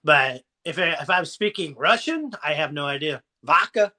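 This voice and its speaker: A man's voice: on the high side, animated, moderate pace, sharp tone. A man with a southern Ohio American accent, hosting a casual live chat and giving rambling, folksy encouragement. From Ohio, USA. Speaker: motivational live-stream host.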